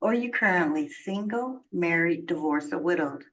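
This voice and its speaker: An actress playing a formal immigration officer who runs an interview in a measured, procedural way, heard from a video played at a distance. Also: female voice, fairly low pitch, lively intonation.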